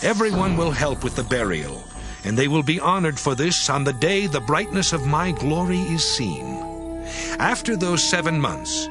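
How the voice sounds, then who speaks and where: An actor playing the Lord God as a stern, solemn divine judge, declaiming in a measured way in an audio scripture drama. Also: male voice, fairly high pitch. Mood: solemn, commanding. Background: music behind the voice.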